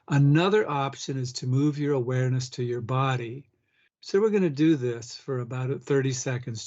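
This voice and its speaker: A man gives gentle, step-by-step meditation guidance in a mid-pitched voice. He speaks in short, unhurried phrases with pauses between them. The recording is a little dull and lo-fi. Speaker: meditation guide. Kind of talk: guided meditation, gentle instruction.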